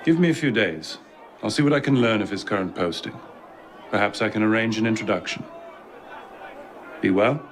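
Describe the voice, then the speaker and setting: An actor playing a wry, cynical French army officer in a video game, with a dry, measured delivery. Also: male voice, on the low side, a faint crowd, slight background noise, lightly acted. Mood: composed. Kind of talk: video game cinematic acting. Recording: background music under the voice.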